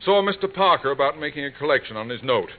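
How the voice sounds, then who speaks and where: Radio sitcom husband, played by a man: stubborn, self-important, lively swooping delivery, thin old broadcast sound. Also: fairly high pitch, fast talker, lightly acted. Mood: blustering. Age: middle-aged. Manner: hesitant, blustering, self-important. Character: proud, stubborn bumbling middle-aged husband and amateur gardener. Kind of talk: 1950s radio sitcom acting. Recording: tinny vintage radio broadcast recording.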